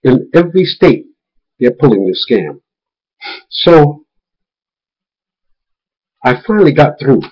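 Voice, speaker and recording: A man's voice: mid-range voice, big pitch swings, slow, pauses often, slightly rough. Man giving an emphatic warning, dull recording. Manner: emphatic, repeats words for stress. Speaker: video blogger warning viewers about a phone scam. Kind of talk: informal spoken warning with retold phone conversations.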